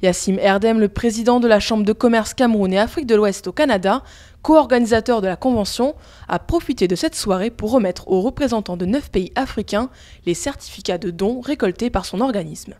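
A woman's voice: medium pitch, fairly quick, darkish tone. A woman news reporter reading a scripted narration for a news report.

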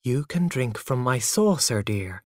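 A man voicing a gentle but firm mother in a story, patient, hushed and chiding. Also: mid-range voice, very animated, a little slow, dry recording, clean studio sound.

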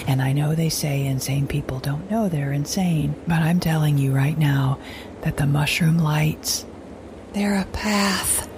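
Clear noisy ambience surrounds a lone woman survivor in an audio drama. Her voice sits a little low. She speaks haltingly and anxiously, repeating words and often sinking toward a whisper. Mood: urgent resolve. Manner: halting, repetitive, drifting into breathy whispers. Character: anxious woman survivor alone in a strange wilderness. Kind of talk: audio drama monologue, like a spoken journal.

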